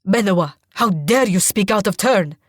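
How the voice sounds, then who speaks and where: A woman's voice: fairly high pitch, lively intonation, normal speed, no echo, clean studio sound, pitched above the performer's own voice. Woman playing a commanding, indignant older sultan. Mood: angry. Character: proud, domineering sultan, an angry older father.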